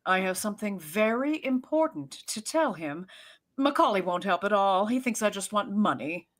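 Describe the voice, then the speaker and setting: Fretful socialite mother, female actor, vintage radio mystery: gushing, animated, coaxing, melodramatic. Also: medium pitch, moderate pace, lightly acted. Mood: wheedling. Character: dramatic, fretful society mother, wheedling and self-pitying. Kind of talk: 1930s radio drama acting.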